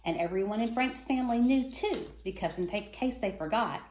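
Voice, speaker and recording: Female storyteller for a kids' picture book read-aloud, fairly high voice, expressive phrasing, echoey room. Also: brisk, slight background noise. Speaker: reader of a children's book.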